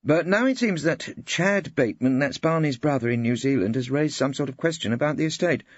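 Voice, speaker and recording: A man's voice: fairly high pitch, lively intonation, brisk, no room sound, voice pushed up. A man plays a proud married woman in an audiobook. The voice is lifted well up and swoops as it confides and appeals. The sound is slightly dull. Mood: confiding, appealing. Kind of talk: audiobook character dialogue.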